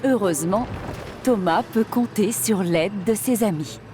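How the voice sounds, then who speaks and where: A woman's voice: medium pitch, measured pace, music in the background, lightly acted. A woman reading scripted narration for a children's train cartoon. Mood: intrigued.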